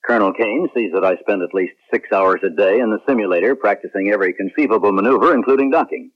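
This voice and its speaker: An American spaceman in a science fiction radio play, voiced by a male actor. His voice sits fairly low. The seventies broadcast recording is muffled and lo-fi. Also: quick pace, lightly acted. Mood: earnest. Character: celebrated space hero captain, and his earnest clone. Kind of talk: 1970s radio drama acting.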